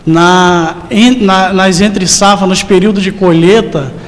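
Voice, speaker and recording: Male interview guest, forties, high register, hesitant polite answers, long hall reverb. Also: unhurried. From rural interior of Rio de Janeiro state, Brazil. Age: 40s. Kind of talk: simple, polite conversational answers.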